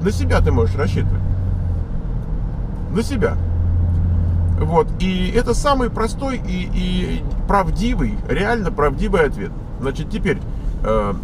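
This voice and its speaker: Мужчина говорит в машине, с шумом дороги. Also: male voice, fairly high pitch. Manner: thinking aloud, many hesitations and fillers. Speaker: vlogger on immigration to America. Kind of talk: casual vlog monologue answering viewer questions. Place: car, driving. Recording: recorded in a moving car with road noise. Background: car and street noise.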